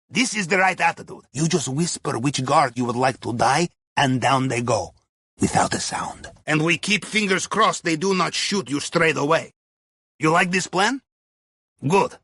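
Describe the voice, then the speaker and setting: A man's voice: high voice, pushed and bright, husky, dry recording, studio quality, exaggerated voice. An actor playing a shrewd, genial Russian submarine crewman in a video game, guiding missions in clipped, dryly conspiratorial phrases with a Russian accent. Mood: dryly playful. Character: shrewd, genial male Russian submarine captain's aide and heist planner. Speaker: mission guide over comms.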